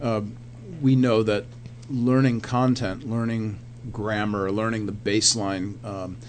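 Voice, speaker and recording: Guy hosting radio interview, lowish voice, bit of noise behind him. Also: little pitch movement, a little slow. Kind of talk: conversational interviewing. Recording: background noise and hum.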